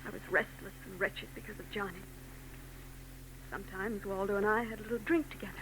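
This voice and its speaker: An actress playing a troubled young married woman in a 1940s radio drama, soft and hesitant. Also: medium pitch, fairly even intonation, fairly quick, lightly acted, low-quality recording. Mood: wistful. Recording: old radio broadcast with hum.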